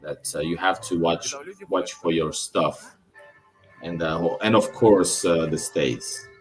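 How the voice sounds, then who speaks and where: Deep-voiced Russian man rambling casually. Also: takes it slowly, faint music behind. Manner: rambling, with restarts and self-corrections. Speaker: travel vlogger. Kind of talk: casual talk over his own video footage.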